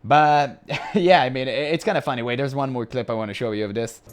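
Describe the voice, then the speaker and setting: A man with a high voice, by his own account, hosting a reaction video. He talks casually, with a blunt and mocking edge, and laughs often. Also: fairly quick, clear, resonant tone, soft background music.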